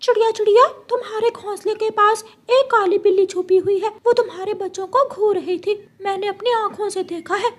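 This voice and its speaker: A woman's voice: very high voice, fairly quick, hardly any pauses, pitched above the performer's own voice. A woman voicing a helpful parrot urgently warning a friend in a children's cartoon.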